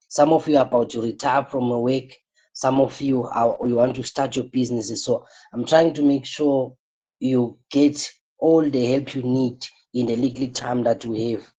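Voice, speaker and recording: Weary husky-voiced man, business coach in a live class, dark mellow tone. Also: mid-range voice, poor audio. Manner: repeats points, asks rhetorical "what?" questions. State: tired, voice strained.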